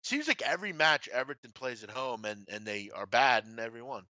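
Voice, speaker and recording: A man hosting a casual sports betting discussion, speaking bluntly with some swearing and halting asides. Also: mid-range voice, expressive, bright, forceful tone, no room sound. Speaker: sports betting show host. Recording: slightly dull, dry lo-fi audio.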